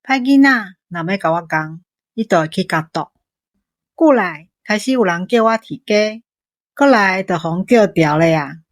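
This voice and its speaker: A woman's voice: medium pitch, animated, moderate pace, no room sound, natural voice. A woman with a Taiwanese accent playing a cheerful young village shopkeeper in an audio drama. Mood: fond recollection.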